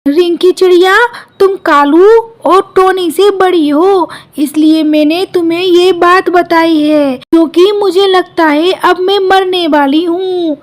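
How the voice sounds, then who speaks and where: A woman's voice: very high-pitched, steady tone, slow, hardly any pauses. A woman voicing a kindly, caring mother bird in a cartoon story. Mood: grave confiding. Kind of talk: Hindi cartoon story dialogue.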